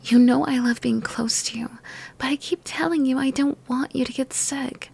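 Young woman whispering asmr girlfriend stuff, sick and tired, kinda high and gravelly. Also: steady tone, normal speed. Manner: soft, affectionate whispering. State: sick and worn out.

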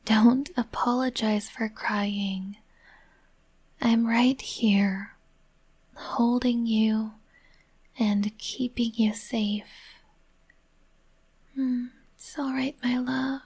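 Woman whispering super slow and breathy, soft comforting voice, a bit high. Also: fairly even intonation. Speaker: roleplay narrator as partner.

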